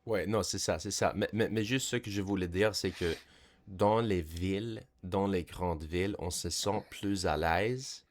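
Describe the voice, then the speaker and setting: A man hosting a podcast, explaining in a lively conversational way and checking in with his listener. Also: low voice, unhurried, slight background noise. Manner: animated, explaining, checks in with "tu sais?". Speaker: podcast host. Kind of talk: casual conversation.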